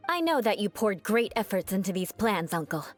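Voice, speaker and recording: A woman voicing a proud young noblewoman knight in a video game, composed and defiant, with formal, measured, coolly disdainful delivery. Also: mid-range voice, big pitch swings, soft background music, close to an everyday voice. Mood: firm reproach.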